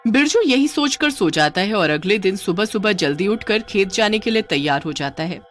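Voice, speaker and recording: A woman's voice: mid-range voice, animated, normal speed, a bit bright. A woman narrating a scripted story as a storyteller.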